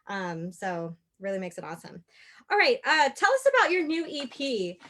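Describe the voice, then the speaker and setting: A woman's voice: on the high side, sing-song, normal speed, fairly bright tone. A woman hosting an interview, upbeat and full of praise, with frequent fillers. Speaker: interview host, acting coach.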